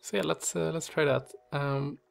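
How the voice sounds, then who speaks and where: A man's voice: on the high side, steady tone, normal speed, gravelly, soft background music. A man with a stuffy nose and a slightly strained voice, hosting casual strategy game commentary, thinking aloud with many fillers. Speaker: gaming channel host.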